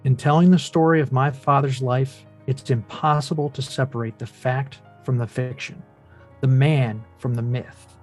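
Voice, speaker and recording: A man playing a wounded, earnest adult son who narrates his father's life in a measured, reflective way at a script read. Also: medium pitch, fairly even intonation, faint music behind, natural voice. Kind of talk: dramatic table read, first-person narration.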